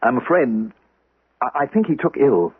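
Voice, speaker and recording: An actor playing a gentle, anxious young gentleman and devoted friend in a 1970s radio drama, speaking hesitantly and solicitously. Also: mid-range voice, sing-song, normal speed, slightly rough, dry recording. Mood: hesitant, uneasy. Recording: noisy, narrow-band old radio broadcast.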